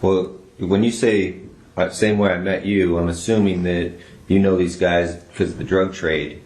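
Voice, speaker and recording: A man's voice: sits low, fairly even intonation, normal speed, a little mellow. An American man questioning someone as a police interrogator, methodically clarifying and steering back to the account. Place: indoor police interview room. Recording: echoey, noisy interrogation room audio.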